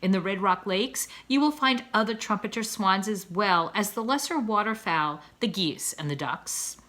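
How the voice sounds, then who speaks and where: A proud father swan from a children's storybook, acted out by a woman, speaking in grand, florid declamation. The voice is bright and forceful, with plenty of rise and fall, as if every sentence were a lecture to his young. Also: medium pitch, lively intonation, moderate pace, lightly acted. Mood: boastful, enticing. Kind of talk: children's storybook read-aloud.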